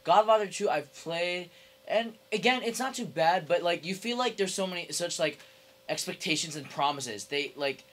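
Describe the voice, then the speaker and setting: A man's voice: high-pitched, animated, moderate pace, a bit bright. A young man in casual gaming chat with friends, a podcast co-host who talks tentatively with lots of "like". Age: young adult.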